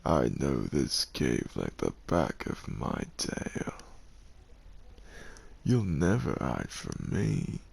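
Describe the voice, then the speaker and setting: Villain dragon: cavernously low and heavily creaking, tyrannical, theatrical menace for audio drama. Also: male voice, huge pitch swings, drawn-out words, darkish tone, heavily acted. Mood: taunting menace. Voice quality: gravelly, husky. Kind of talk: dramatic audio roleplay acting.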